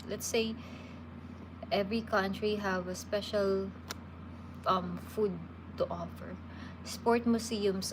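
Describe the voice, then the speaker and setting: A woman's voice: medium pitch, background noise. A woman, chatting casually with viewers as a livestream host and answering their questions. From Philippines. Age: 30s. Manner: relaxed, chatty, with frequent restarts.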